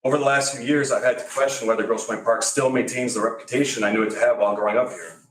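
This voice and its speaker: A man's voice: medium pitch, steady tone, quick pace. A man from Greece in his forties giving a prepared public comment at a city meeting, firm and a little wry. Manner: wry, firm, reads from notes. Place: council chamber. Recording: echoey chamber, distant mic.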